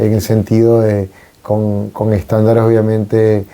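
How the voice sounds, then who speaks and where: A Venezuelan-born priest in his forties talks through his story in a calm, halting way. His voice is very dark and gravelly. He pauses often and picks his words as he goes. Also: fairly low pitch. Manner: unhurried and reflective, many hesitations. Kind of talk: casual conversation on a radio talk show.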